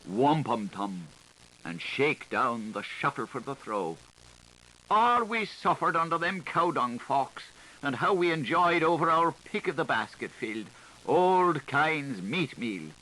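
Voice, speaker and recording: A man reading literary prose aloud as an audiobook narrator, in a playful, declamatory, theatrical way with deliberate phrasing, on a 1980s recording. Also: fairly high pitch, big pitch swings, pushed and bright, low-quality recording.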